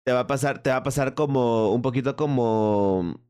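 A man's voice: medium pitch, moderate pace, warm tone, clear, resonant tone. Friendly rambling man hosting an interview. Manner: friendly, rambling questions, calls guest "hermano". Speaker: interviewer, host. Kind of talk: casual stream interview.

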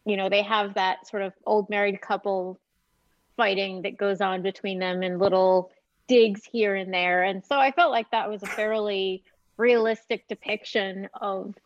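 A woman giving her opinion in a casual discussion, explaining her points in a measured, analytical way. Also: mid-range voice, steady tone, dry recording. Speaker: podcast panelist. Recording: dull, dry lo-fi audio.

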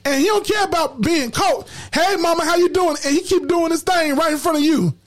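A woman's voice: extremely high pitch, brisk. A woman hosting a podcast in casual, colloquial talk, emphatic and preachy, repeating words when fired up. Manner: colloquial slang. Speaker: podcast host.

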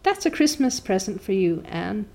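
A woman's voice: mid-range voice, big pitch swings, normal speed, creaky, darkish tone. A woman voicing a shy, awkward older farmer man who speaks hesitantly, reading a storybook aloud.

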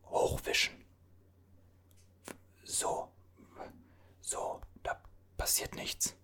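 Someone whispering as a bewildered time traveler. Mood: puzzled. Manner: puzzled, halting whisper with many restarts. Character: bewildered time traveler from the Deutsche Mark era. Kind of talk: whispered ASMR roleplay.

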